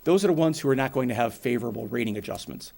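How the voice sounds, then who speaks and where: A man in his forties with a New England accent, giving a brisk, plainspoken financial presentation to a town board and explaining with everyday examples. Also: mid-range voice, lively intonation, creaky, darkish tone, a little hiss or hum. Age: 40s. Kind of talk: financial presentation at a public meeting.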